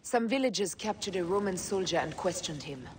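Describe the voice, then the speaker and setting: A woman's voice: fairly low pitch, animated, a little fast, background noise, natural voice. An actress playing a fierce, determined ancient Egyptian woman seeking vengeance in a video game, grave and intense. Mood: grimly determined. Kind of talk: dramatic video game acting. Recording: loud music behind the voice.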